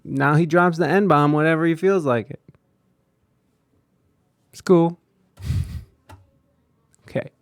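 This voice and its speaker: A man's voice: a little high, slow, pauses often, clear, resonant tone, no room sound. A man streaming live, commenting on clips with sarcastic asides and repeated phrases.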